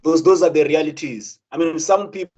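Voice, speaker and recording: A man's voice: fairly high pitch, a little fast, darkish tone. A young South African man speaking earnestly, with many repeated words and restarts, as a student representative. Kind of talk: impassioned spoken talk.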